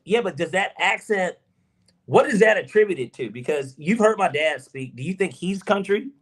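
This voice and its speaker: A man's voice: high-pitched, animated, normal speed, slightly rough. A man from Georgia with a Southern accent, co-hosting a casual sports podcast, talking emphatically and swearing freely. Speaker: podcast co-host.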